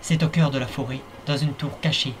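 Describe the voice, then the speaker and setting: A man's voice: mid-range voice, fairly even intonation, fairly quick, slight background noise, rough recording. A man narrating a fan-dubbed fairy tale in a playful, wry way, speaking straight to the viewer. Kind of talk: fan-dub storytelling narration.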